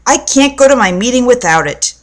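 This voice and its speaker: A woman's voice: a little high, lively intonation, brisk, close to an everyday voice. A woman voicing an angry, bossy young boy in a children's storybook, indignant and demanding. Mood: complaining. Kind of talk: storybook character voice.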